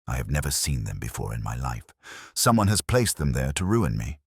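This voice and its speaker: Casting: male narrator as a proud storybook opera star, indignant, florid delivery, deep voice with swooping intonation. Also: very animated, a little fast, husky, no echo, clean studio sound, full character voice. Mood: indignant protest. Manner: declamatory, florid.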